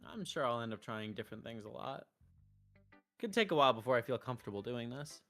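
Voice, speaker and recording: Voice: medium pitch, lively intonation, fast, fairly bright tone. An androgynous-sounding game streamer with a middle-range voice, reading visual novel lines aloud between casual remarks. The delivery is wisecracking and freely profane, with faint music behind it. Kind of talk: casual gameplay banter mixed with reading dialogue aloud.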